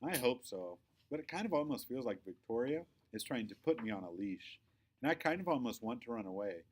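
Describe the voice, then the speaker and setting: Male storybook narrator, medium pitch, highly animated, expressive read-aloud with dramatic phrasing. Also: a little fast, slight background noise. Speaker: audiobook-style reader.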